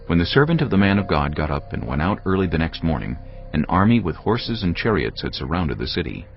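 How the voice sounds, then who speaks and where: A male narrator with an extremely deep, gravelly voice reads scripture in a grave, measured storytelling manner. His delivery rises and falls expressively. Cinematic music plays underneath in a dull, lo-fi recording. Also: very animated.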